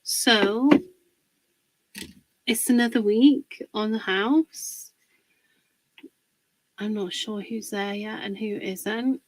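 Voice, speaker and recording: A woman hosting a casual live craft demonstration, a little husky from a cold, chatting and greeting viewers by name. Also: mid-range voice, steady tone, very slow, pauses often, a little hiss or hum, low-quality recording. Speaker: craft livestream host.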